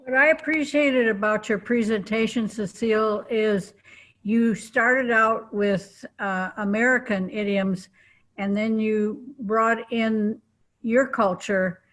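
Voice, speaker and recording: A woman giving measured, appreciative feedback in a group discussion. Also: fairly high pitch, fairly even intonation, fairly bright tone, rough recording.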